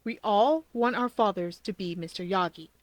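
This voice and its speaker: A woman voicing an earnest, deadpan teenage boy, gravely serious about his conspiracy theories, in a fan fiction reading. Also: medium pitch, normal speed, no echo, close to an everyday voice. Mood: grave, urgent. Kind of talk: fan fiction audiobook character voice.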